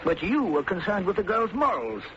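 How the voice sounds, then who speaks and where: Fussy, high-voiced man, swooping intonation. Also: big pitch swings, moderate pace. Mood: indignant. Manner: prim, formal, reproachful. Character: fussy, indignant male client hiding his guilt. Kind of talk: old-time radio drama acting. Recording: muffled, noisy vintage radio recording.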